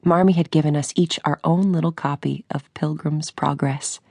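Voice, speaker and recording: An actress playing a grown daughter who recalls her girlhood in measured, reflective radio drama narration. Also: sits low, steady tone, creaky, dark tone, dry recording. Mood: fond, reflective.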